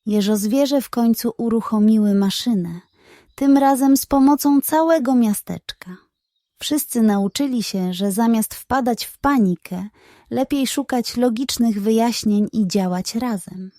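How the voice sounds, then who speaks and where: Slow, high-voiced woman storyteller. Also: mellow, no room sound, studio quality. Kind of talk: scripted children's bedtime story narration.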